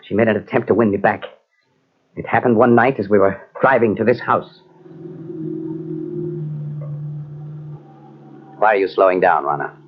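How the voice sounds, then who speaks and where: An actor playing a tormented, bitter husband in an old-time radio mystery, with a dramatic, brooding delivery. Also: male voice, fairly low pitch, a little fast, background noise, natural voice. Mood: bitter, cold. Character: tormented, bitter husband confessing his crime to a doctor. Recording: muffled vintage radio recording from cassette.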